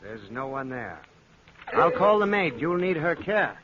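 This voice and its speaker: An actor playing a cold, condescending husband in an old-time radio horror play, suave, formal and mockingly tender. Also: male voice, a little high, sing-song, unhurried. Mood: icy calm. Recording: muffled, hissy vintage radio recording.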